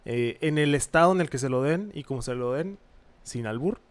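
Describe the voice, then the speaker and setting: A man chimes in on a loose baseball podcast. His voice is at an everyday male pitch, and he throws in slangy jokes and short agreements. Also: animated, normal speed. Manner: joking, slangy interjections. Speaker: podcast co-host. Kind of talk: casual baseball podcast chat.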